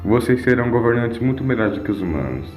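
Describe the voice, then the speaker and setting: A man's voice: medium pitch, big pitch swings, quick pace, a little mellow, close to an everyday voice. Scheming drifter, acted by a male narrator: insistent, goading, dismissive, echoing sound with music. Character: scheming male wanderer villain, insistent, manipulative.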